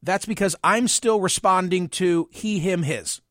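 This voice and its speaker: A man in his sixties, hosting his own show, musing in a measured, wry monologue. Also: sits high, a bit bright, no echo, studio quality. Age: 60s. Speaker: podcast and radio host. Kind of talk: reflective personal monologue.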